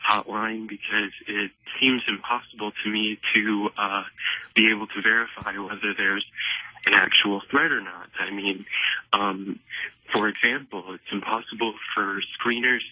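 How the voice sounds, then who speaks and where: A man calling a phone-in show about politics, speaking haltingly with many pauses. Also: fairly even intonation. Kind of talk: prank call posing as political caller. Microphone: telephone. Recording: muffled phone line, boomy echo.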